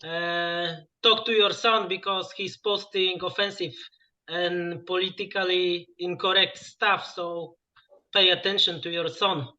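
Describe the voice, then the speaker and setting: A man with a Polish accent talks in English, pausing often and leaning on "you know." His voice sits high and sounds bright and forward. The audio is dull and lo-fi, like a video call. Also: steady tone. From Poland. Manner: halting, lots of "you know" fillers. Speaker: guest musician. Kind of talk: casual interview over a call.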